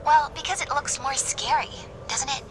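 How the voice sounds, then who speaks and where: A woman's voice: high-pitched, moderate pace, fairly bright tone, a little hiss or hum, poor audio. A woman voicing a sensible, kindly female Smurf in a cartoon video game, patiently guiding her teammates. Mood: patient, coaxing.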